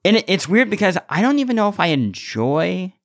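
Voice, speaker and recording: A man's voice: high-pitched, very animated, brisk, fairly bright tone. A man hosting a podcast in casual chat, telling stories in a rambling way with repeated words. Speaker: podcast host.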